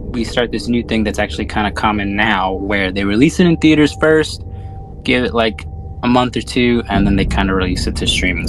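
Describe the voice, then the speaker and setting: A man's voice: fairly low pitch, low-quality recording. A man chatting casually about films as a podcast host, with a slangy, sweary, rambling way of talking. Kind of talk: casual film discussion chat.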